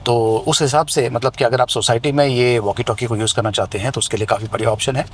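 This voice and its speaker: A man's voice: mid-range voice, steady tone, fast talker, husky. A man with an Indian accent giving a conversational, explanatory hands-on product review outdoors. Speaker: tech reviewer and vlogger. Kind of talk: hands-on product review, mixing Hindi and English. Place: outdoors near residential buildings. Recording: noisy outdoor ambience.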